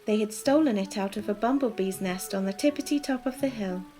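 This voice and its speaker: A woman narrating a children's storybook in a soft, gentle, calm way, as scripted bedtime reading. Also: medium pitch, fairly quick, soft background music.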